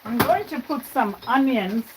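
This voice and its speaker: A woman's voice: fairly high pitch, lively intonation, measured pace, background noise. A woman born in Jamaica, chatting to her livestream viewers as she cooks in her home kitchen. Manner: chatty, talks to viewers while cooking. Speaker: livestream cooking host. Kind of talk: casual cooking livestream. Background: frying and stirring sounds.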